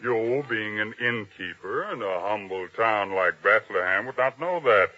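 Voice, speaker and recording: A man's voice: lowish voice, lively intonation, unhurried, sharp tone, full character voice. An actor playing a proud, domineering captain of a king's guard in old-time radio drama style, commanding and taunting. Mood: smug, taunting. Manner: commanding, taunting, self-important. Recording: dull, lo-fi old radio sound.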